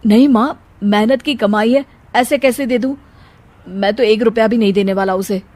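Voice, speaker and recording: A woman's voice: on the high side, steady tone, normal speed, darkish tone, close to an everyday voice. A woman voicing a stubborn grown son from a village in a cartoon story, firm and defiant. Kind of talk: cartoon moral-story acting.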